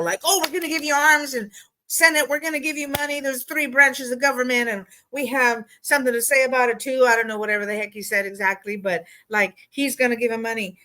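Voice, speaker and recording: A woman's voice: a little high, bright, forceful tone. Exasperated woman ranting casually. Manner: exasperated, blunt, swears, many fillers. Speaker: political vlog host. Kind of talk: casual opinion rant.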